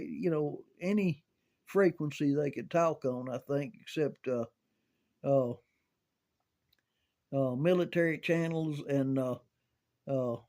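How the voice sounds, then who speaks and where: An older American man reminiscing casually in a halting, folksy way, often repeating words as he goes. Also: on the high side, a little mellow. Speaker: storyteller sharing recollections of CB radio and volunteer rescue.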